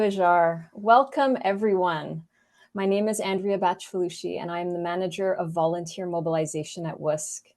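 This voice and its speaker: Brief: woman presenter, lower voice, reads a polished organizational overview on a call. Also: warm tone. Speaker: volunteer program manager. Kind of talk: scripted presentation.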